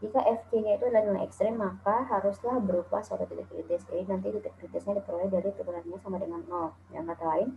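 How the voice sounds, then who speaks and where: A woman's voice: medium pitch, fairly even intonation, fast talker, vocal fry, few pauses, slight background noise. A woman from Indonesia giving a recorded calculus lecture over slides, explaining step by step with frequent "eh" and "nah". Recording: tinny phone-like lo-fi audio.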